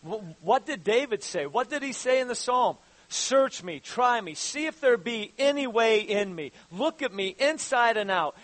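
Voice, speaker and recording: High-voiced man in his fifties preaching. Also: a bit bright, no room sound. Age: 50s. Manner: halting, repeats words, rises to fervent exhortation. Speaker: pastor. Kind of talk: sermon.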